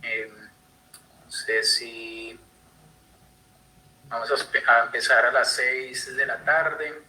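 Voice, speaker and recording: A man's voice: mid-range voice, little pitch movement, slow, bright, forceful tone, rough recording. A young man from Colombia with a Colombian accent, giving an online conservation talk with many fillers and restarts. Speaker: conservation speaker. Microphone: computer mic on video call.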